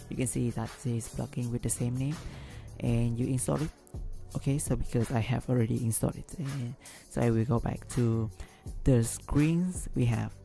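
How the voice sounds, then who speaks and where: A man hosting a screen-recorded software tutorial, talking through each step with frequent ums and restarts, English not his first language. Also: mid-range voice, vocal fry. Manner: hesitant, many ums and restarts. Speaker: business analyst tutorial host.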